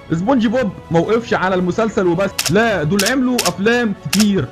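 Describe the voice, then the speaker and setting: A man with an Egyptian Arabic accent, narrating a scripted cartoon recap video. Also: high-pitched.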